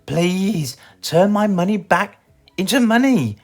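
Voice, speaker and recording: A man's voice: high-pitched, animated, slow pace, pitched above the performer's own voice, exaggerated voice. A man with a British accent playing a mean, grumpy storybook miser with a curt, melodramatic manner. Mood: wailing, pleading.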